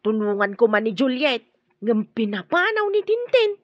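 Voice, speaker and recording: A woman with an Ilocano accent playing a gossipy, animated village woman in a radio drama. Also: fairly high pitch, moderate pace, no room sound, lo-fi sound.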